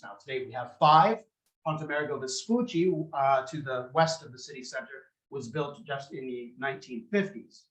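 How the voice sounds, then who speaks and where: A man with a loud voice giving a public lecture, conversational and precise, speaking into a handheld microphone. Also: fairly high pitch. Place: lecture room. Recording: echoey room.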